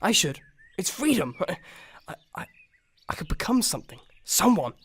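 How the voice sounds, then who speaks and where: A man's voice: sits high, big pitch swings, normal speed, gravelly, a bit bright, husky, full character voice. A man playing a mopey, anxious horse who dreams of music, rambling and sarcastic in an audio story. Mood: hesitant, excited. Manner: sighing, rambling self-talk, sarcastic. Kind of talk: cartoon-like audio story acting.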